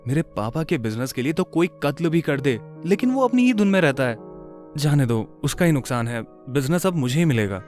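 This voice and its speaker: A man voicing moody, defensive young men from a wealthy family in an animated story, with curt, dramatic delivery. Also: a little high, fairly quick, faint music behind. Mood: dismissive. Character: moody young men, rival rich brothers, sardonic and defensive.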